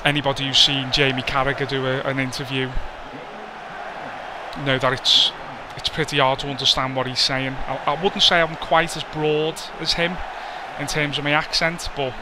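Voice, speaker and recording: A man's voice: medium pitch, fairly even intonation, normal speed, noisy background. A man with a Scouse accent casually commentating over a football video game, chatty and self-deprecating. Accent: Scouse (Liverpool). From Liverpool, England. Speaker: gaming commentator. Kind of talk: casual let's play commentary.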